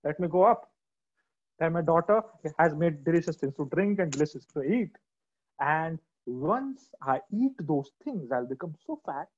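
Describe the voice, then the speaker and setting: A woman's voice: deep voice, animated, moderate pace, a little mellow, lo-fi sound, voice pushed down. A woman voicing a thin, clever old lady in a children's story, coaxing and pleading with the animals.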